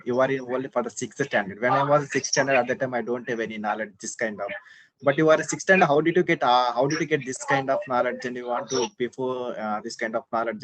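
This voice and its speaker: Young indian guy on a video call, halting english, keeps searching for words, asks lots of questions, average pitch. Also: a bit bright, poor audio. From Hyderabad, South India. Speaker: conversation partner practising English. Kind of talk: casual online video call chat.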